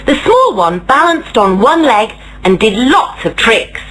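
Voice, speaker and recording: Expressive, animated woman reading a children's story. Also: on the high side, a little hiss or hum, poor audio. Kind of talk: scripted children's story narration.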